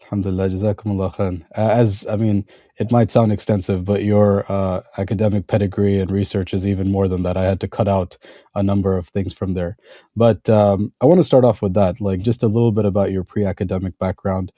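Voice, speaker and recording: Low, flat-voiced male podcast host. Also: dry recording, studio quality. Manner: halting, many fillers and restarts. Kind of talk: conversational interview introduction.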